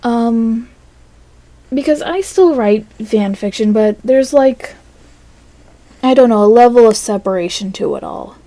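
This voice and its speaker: A woman recording a casual, rambling audio log monologue in a hesitant, halting way that trails off between phrases. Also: a little high, expressive, mellow, no echo.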